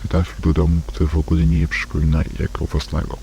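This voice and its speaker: A man's voice: rumbling low, monotone, quick pace. A man narrating a scripted analog horror case file in a detached, report-like manner. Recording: faint hum and background noise.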